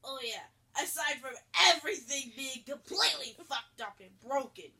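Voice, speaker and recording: A woman's voice: high-pitched, lively intonation, normal speed, background noise. A woman voicing a grumpy, put-upon pizzeria worker, a man who grumbles sarcastically, in a story reading. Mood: exasperated.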